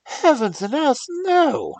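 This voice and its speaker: A man voicing a passionate young nobleman who shouts in outrage. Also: extremely high pitch, wildly swooping pitch, slow, no echo, voice pushed up, heavily acted. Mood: furious. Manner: shouting indignantly.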